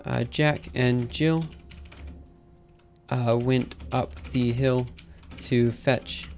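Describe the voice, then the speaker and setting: A man live-streaming his coding session, thinking aloud in an upbeat, chatty way while typing, his voice a little raspy. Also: mid-range voice, fairly even intonation, very slow, darkish tone, faint music behind. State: slightly raspy after a drink. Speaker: programming livestreamer. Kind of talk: live coding stream, casual talk-through.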